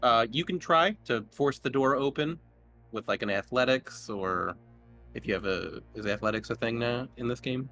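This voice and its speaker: A man's voice: mid-range voice, normal speed. A man casually narrating a tabletop game as game master, jokey and given to swearing. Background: soft music.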